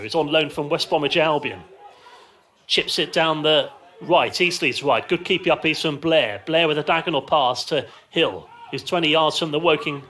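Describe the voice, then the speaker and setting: Higher-pitched man calling live football. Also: sharp tone. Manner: short clipped descriptive phrases, lifting with the play. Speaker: football commentator. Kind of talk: live radio football play-by-play commentary. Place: outdoors in a football ground stand.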